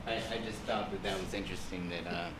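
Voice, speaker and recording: A man in the audience making a halting comment, full of fillers like "um" and "like". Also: sits low, steady tone, noisy background. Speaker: audience member. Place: community room.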